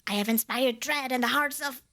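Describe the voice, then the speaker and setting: A woman's voice: high voice, a little fast, bright, forceful tone, slight background noise. A woman playing a tiny, proud and easily flustered female demon in an ASMR roleplay, boastful and indignant, often hedging and correcting herself. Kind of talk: ASMR roleplay addressed to the listener.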